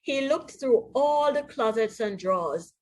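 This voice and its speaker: A woman with a Jamaican accent reading a storybook to young pupils as their teacher, in an expressive, deliberate way. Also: fairly high pitch, rough recording. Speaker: primary school teacher. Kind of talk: read-aloud storybook lesson for young children.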